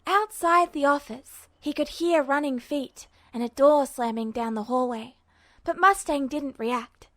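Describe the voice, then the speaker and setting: A woman reading fan fiction aloud as a podfic, with even, attentive storytelling narration. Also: sits high, measured pace, no echo. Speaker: podfic reader.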